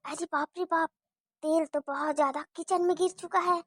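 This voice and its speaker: A woman's voice: very high-pitched, normal speed, no room sound, studio quality. A woman playing a scheming elder daughter-in-law who mutters her plots and sweet-talks her mother-in-law in a homemade family drama skit. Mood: plotting, hushed. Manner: plotting in hushed mutters, sweet to her face.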